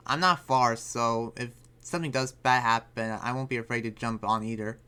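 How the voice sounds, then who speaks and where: A man playing an earnest, troubled demon slayer and researcher in an improvised anime game roleplay, speaking haltingly and often trailing off. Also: medium pitch, steady tone, pushed and bright, clear, resonant tone, lightly acted. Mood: worried, earnest.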